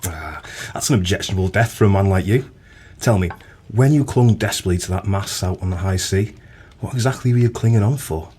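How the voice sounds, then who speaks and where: An actor playing a gruff but kindly pub landlord in an audio drama, weary and plain-spoken. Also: male voice, low voice, brisk, a little mellow. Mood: wry, consoling.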